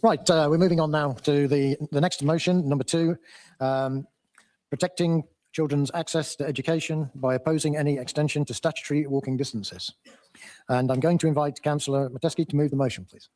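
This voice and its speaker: A man chairing a formal meeting, guiding procedure in a halting way. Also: a little high, fairly even intonation, darkish tone, no echo.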